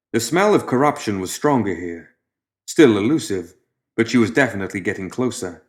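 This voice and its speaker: A man reading scripted fiction as an audiobook narrator, in a measured, dramatic storytelling manner that drops softer at the ends of phrases. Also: on the low side.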